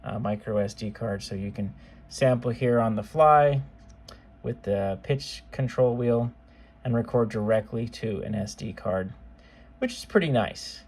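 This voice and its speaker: A man's voice: mid-range voice, measured pace, a little mellow, slightly rough. A man giving a casual product demonstration as a seller, talking in an informal, offhand, conversational way. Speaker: seller demonstrating modified cassette players.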